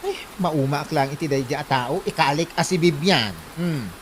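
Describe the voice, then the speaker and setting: A woman's voice: deep voice, moderate pace, slight background noise, natural voice. A woman acting a gushing, gossipy village best friend in an Ilocano comedy drama, with an Ilocano accent.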